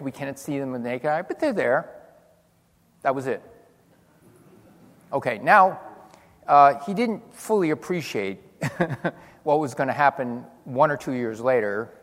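An older man giving a physics lecture to an audience, telling stories with dry humor and lively demonstrations. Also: medium pitch. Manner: animated storytelling with dry humor. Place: large lecture hall. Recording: long hall reverb.

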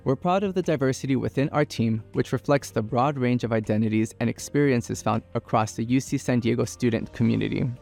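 A man giving a measured, informative scripted presentation about his team. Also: mid-range voice, gravelly, a little mellow, faint music behind. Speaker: team member.

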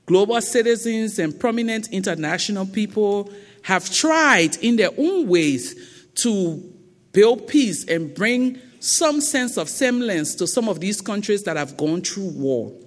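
Slow Liberian woman lecturing in a hall. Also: mid-range voice, lively intonation. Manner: slow, deliberate, emphatic. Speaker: guest speaker. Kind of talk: public lecture. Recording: long reverb of a lecture hall.

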